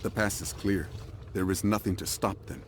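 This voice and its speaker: A man voices a grave warrior ally in a game. He speaks low, in a terse and solemn way, with faint ambient noise around him. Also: steady tone, normal speed, lightly acted. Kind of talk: video game cutscene acting.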